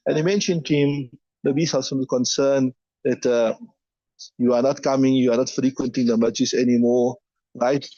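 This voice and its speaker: A man teaching a hadith class at a brisk, rapid pace. He reads each line and then explains it plainly. The sound is dry and close, but dull and muffled. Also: mid-range voice, fairly even intonation, mellow, no echo.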